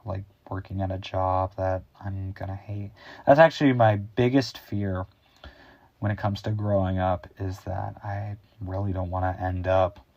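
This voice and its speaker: A teenage male YouTuber with a deep, bassy voice, chatting in an improvised, rambling and slightly awkward way. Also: vocal fry, a little mellow, dry recording, highs cut off. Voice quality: deep, bass-heavy voice. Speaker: YouTube host. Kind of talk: casual unscripted chat.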